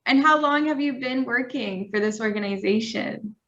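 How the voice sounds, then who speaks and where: A woman's voice: fairly high pitch, normal speed, a bit bright. A woman moderating a video call discussion, friendly and encouraging. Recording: dull lo-fi call audio.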